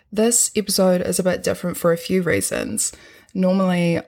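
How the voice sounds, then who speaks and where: A young woman with a New Zealand accent and a husky voice, hosting a podcast in an upbeat, earnest monologue. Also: fairly low pitch, a little mellow. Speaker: podcast host.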